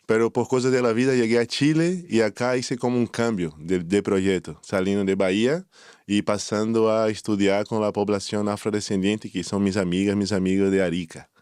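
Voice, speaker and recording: A man from Bahia, Brazil, speaking Spanish as an as an interview guest, measured and prone to small restarts. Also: lowish voice, darkish tone, smooth, no room sound, studio quality. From Salvador de Bahia, Brazil. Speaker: guest, anthropologist. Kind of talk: conversational interview.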